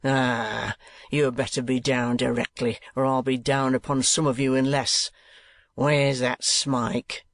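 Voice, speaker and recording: Man doing a cruel middle-aged schoolmaster, slow and sneering, gravelly, bullying, dry close sound. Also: mid-range voice, level tone, a bit bright, no echo. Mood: angry. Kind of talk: audiobook character voice.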